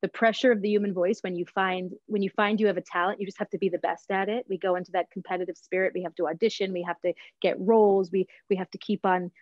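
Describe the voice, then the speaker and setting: A middle-aged woman telling her story in an animated interview over a video call, talking with her hands and often adding "right?". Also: mid-range voice, fast, hardly any pauses, no echo, muffled recording. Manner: expressive, gestures while talking, frequent "right?". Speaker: guest, voice teacher and singer. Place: home room with bookshelves.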